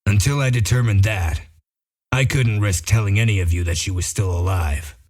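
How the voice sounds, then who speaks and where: Low-voiced wry detective played by a man. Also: normal speed, close to an everyday voice. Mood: wry, explaining. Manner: matter-of-fact, wry explaining. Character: Bigby Wolf, gruff dry-witted male detective sheriff. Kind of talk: amateur comic dub acting.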